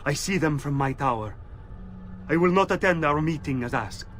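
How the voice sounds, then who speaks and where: Fearful superstitious male conspirator, raised pitch, brooding, video game narration, faint ambient noise. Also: animated, normal speed, fairly bright tone. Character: paranoid, superstitious male conspirator reading his letter aloud.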